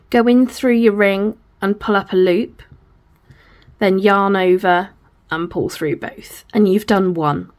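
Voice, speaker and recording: A woman with a croaky, hoarse voice teaches crochet slowly and patiently. She talks through each hand movement as she films, sounding apologetic and plain, at a middling pitch. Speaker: crochet tutor. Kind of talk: step-by-step craft tutorial.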